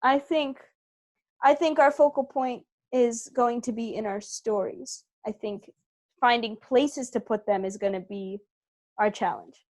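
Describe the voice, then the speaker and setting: A woman's voice: a little high, measured pace, darkish tone. A woman actor being interviewed, speaking conversationally and thoughtfully, repeating phrases and checking in with "right?". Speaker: interviewed actor from a theater company. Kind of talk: earnest conversational interview answers.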